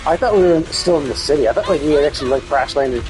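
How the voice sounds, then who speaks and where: A male streamer giving casual commentary on a game he is playing. His voice sits a bit high and moves around a lot in pitch. He rambles and swears freely, with game music behind him. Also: lively intonation, racing, poor audio. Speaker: livestreamer. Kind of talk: casual live gameplay commentary.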